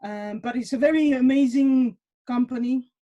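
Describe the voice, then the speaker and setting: A woman's voice: sits high, moderate pace, warm tone, muffled recording. A woman in her forties from Mexico, talking casually as a webinar guest, with many fillers and repeated words. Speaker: webinar guest, wine industry professional.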